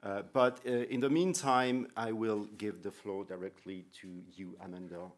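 A middle-aged man moderating a conference panel, halting and repeating words, with many fillers, into a table microphone. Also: on the low side, fairly even intonation. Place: conference room.

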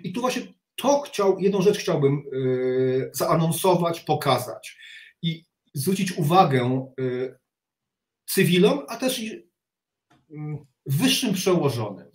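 Man, middle-aged, higher-pitched voice, halting blunt commentary, roomy echo, video call. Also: expressive. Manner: halting, with repeated words and blunt criticism. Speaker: interview guest, reserve army officer. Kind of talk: opinionated interview commentary. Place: home study with bookshelves, indoors. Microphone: video call, sitting back from the webcam.

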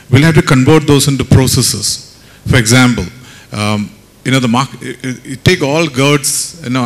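A middle-aged man speaks at a medium pitch on a conference panel. He explains his points with some halting starts, talking into a handheld mic. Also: fairly bright tone. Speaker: panelist, consultant. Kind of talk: panel discussion remarks. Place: conference stage indoors. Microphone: handheld microphone.

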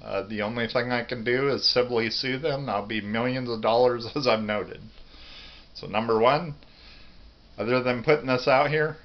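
A man's voice: mid-range voice, normal speed, lo-fi sound. A middle-aged American man giving an earnest, plain-spoken, rambling video monologue on a phone held at arm's length in a kitchen. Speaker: vlogger. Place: home kitchen, indoors.

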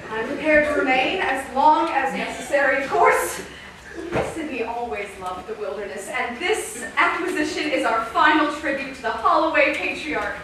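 A woman's voice: sits high, animated, moderate pace. A woman playing a melodramatic, anxious wife and mother in an improvised staged comedy reading. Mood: earnest. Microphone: far camera. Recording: distant, echoey, very noisy theater recording.

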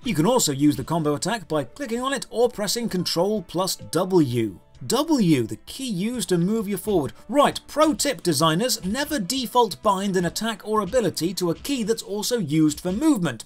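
A man with a slightly high, very lively voice narrates a comedic game review. He is sarcastic and exasperated in his delivery. Faint game music plays behind him. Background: video game music.

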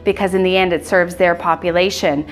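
A woman project lead giving a calm, measured interview to camera in a bright office, on a lapel mic. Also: lowish voice.

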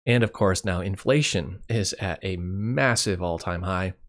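A middle-aged man hosting his own channel, talking casually and candidly through his year, his voice hoarse and cracking. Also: low voice, measured pace. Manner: candid, rambling, wry. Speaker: YouTube channel host. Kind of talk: casual year-in-review monologue.

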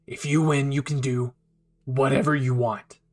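A man's voice: mid-range voice, little pitch movement, unhurried, faint music behind, voice pushed down, close to an everyday voice. A man voicing a hot-tempered, loyal young hero in an anime roleplay, intense and pleading. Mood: grim resolve. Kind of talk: anime fan ASMR roleplay acting.

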